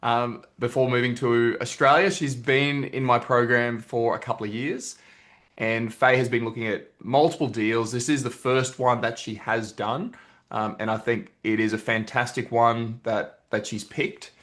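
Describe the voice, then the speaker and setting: A man with a mid-pitched voice hosts a casual online interview. He speaks as a coach, introducing his guest and commenting in a conversational way. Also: steady tone, moderate pace, fairly bright tone. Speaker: host, property investment coach. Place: remote video call.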